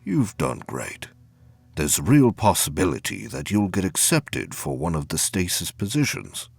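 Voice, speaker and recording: A man voicing a measured, encouraging senior engineering officer in a science fiction audio story, with a gravelly, husky voice. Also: low voice, huge pitch swings, dry recording, faint music behind, heavily acted. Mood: measured encouragement. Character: stern older male chief engineering officer, measured, encouraging.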